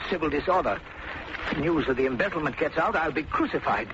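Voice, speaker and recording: An American actor playing a nervous middle-aged small-town bank manager with a hesitant, formal manner in an old radio drama. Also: male voice, fairly high pitch, expressive, brisk, close to an everyday voice. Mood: alarmed. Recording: muffled, noisy old radio recording.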